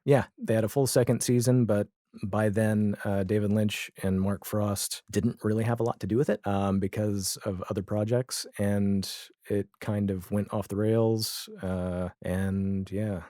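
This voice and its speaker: A man with a fairly low voice talks casually as a guest on a podcast. He feels his way through sentences in a hesitant, think-aloud manner. The sound is dry, with very little room around it. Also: steady tone, measured pace, dark tone, no room sound. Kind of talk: casual chat.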